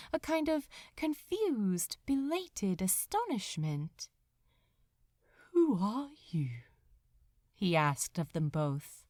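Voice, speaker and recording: A woman reading fiction aloud as a narrator, with expressive, dramatic delivery. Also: a little high, no room sound, clean studio sound. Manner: slow, expressive, dramatic storytelling. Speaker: audiobook narrator.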